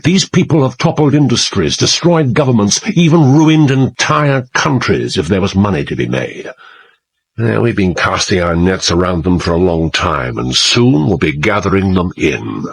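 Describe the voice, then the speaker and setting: An earnest intelligence chief in a radio play. His low, rough voice is grave and emphatic, swinging widely in pitch as he makes his case. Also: very animated, moderate pace, heavily acted. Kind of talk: radio drama acting.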